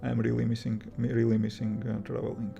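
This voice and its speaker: A young Latvian man, a native Latvian speaker with a Latvian accent, chatting at home on a livestream, halting and restarting often. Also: mid-range voice, monotone, gravelly, highs cut off. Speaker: livestream host, iOS developer. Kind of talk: casual livestream chat reading viewer comments. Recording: guitar music playing behind the voice.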